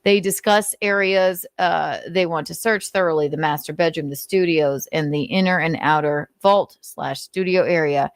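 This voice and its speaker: Low-pitched woman, dry close sound. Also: normal speed, few pauses, dry recording. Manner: informal, sweary, sardonic asides. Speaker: commentator and show host. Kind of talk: casual read-aloud of notes with commentary.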